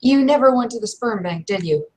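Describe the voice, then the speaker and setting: A woman playing an awkward, evasive young werewolf in a tabletop roleplay, with halting, slangy, stammering speech. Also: on the high side, expressive, a little mellow, close to an everyday voice, poor audio. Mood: exasperated, confused.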